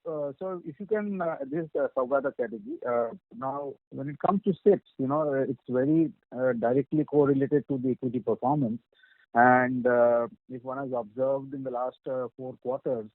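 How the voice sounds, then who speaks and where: Indian exec guy, average pitch, lots of hesitant phrasing, phone line sound. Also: no room sound. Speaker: company executive answering on an earnings call. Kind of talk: unscripted business explanation. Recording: dry tinny narrowband phone line.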